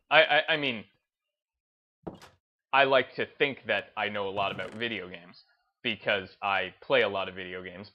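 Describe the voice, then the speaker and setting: A man's voice: fairly low pitch, dull audio. A man giving unscripted opinion commentary in a hesitant, rambling way, often restarting his phrases. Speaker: host of a gaming video channel.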